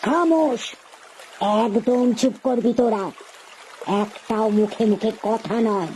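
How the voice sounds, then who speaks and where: Cartoon hen mother, played by an actor: strict, scolding, slow-paced, faint background noise. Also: medium pitch, animated, mellow, rough recording. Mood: stern, scolding. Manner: curt, scolding. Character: stern, commanding mother hen scolding her chicks. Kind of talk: cartoon story dialogue.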